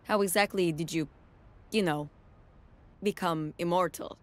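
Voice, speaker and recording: A woman's voice: lowish voice, unhurried, dry recording, natural voice. A woman voicing a probing, ominous woman agent in a video game. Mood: probing, measured. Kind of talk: video game character voice lines.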